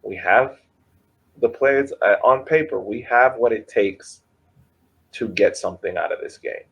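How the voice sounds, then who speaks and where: A man co-hosting a soccer podcast, talking casually with many pauses and restarts. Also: mid-range voice, gravelly, fairly bright tone, breathy edge, muffled recording. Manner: hesitant, many ums and restarts. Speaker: podcast co-host reporting on a press conference.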